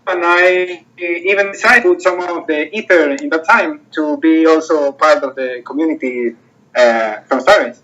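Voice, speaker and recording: A man, the project owner, talking about his project in a casual interview and filling pauses with lots of "uh"s. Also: a little high, sharp tone, highs cut off. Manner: hesitant, many fillers and restarts.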